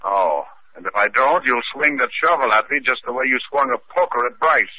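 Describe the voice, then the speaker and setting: Punchy, forceful 1940s radio detective. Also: male voice, medium pitch, lively intonation, moderate pace, breathy edge, heavily acted. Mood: firm, wry. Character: tough, unflappable radio private detective. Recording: dull, slightly noisy old radio recording.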